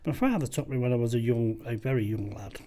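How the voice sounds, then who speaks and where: A man hosting a podcast, chatting with curiosity, laughing easily and trailing off quietly at the ends of his sentences. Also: mid-range voice, fast talker. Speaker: podcast host. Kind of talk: casual interview chat.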